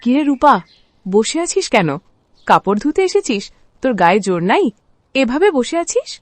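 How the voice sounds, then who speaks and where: A woman as a gossipy, animated village auntie. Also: fairly high pitch, normal speed, no echo. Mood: brisk, curious. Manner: chatty, pitying, outspoken. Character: sympathetic, gossipy middle-aged village auntie. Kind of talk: Bengali cartoon dialogue.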